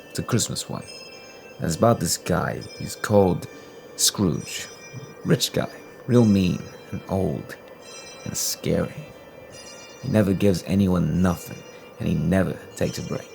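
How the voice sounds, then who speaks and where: Low, creaky, halting storyteller, acted by a man. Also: darkish tone, full character voice. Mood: hesitant, musing. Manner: halting, informal, plain-spoken. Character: reluctant, folksy male storyteller retelling a Christmas tale. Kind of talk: audio drama framing narration. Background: music.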